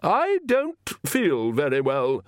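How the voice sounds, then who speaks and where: A man's voice: extremely high pitch, big pitch swings, normal speed, no echo, studio quality, voice pushed up. A man voicing an aristocratic female vampire countess and mother in an audiobook, haughty and cutting. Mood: queasy, reproachful.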